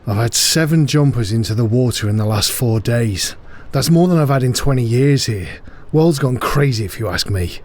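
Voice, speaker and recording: An actor playing a weary, suspicious middle-aged harbour master in an audio drama, speaking bluntly. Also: male voice, medium pitch, animated, moderate pace, a little mellow, natural voice. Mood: weary, exasperated.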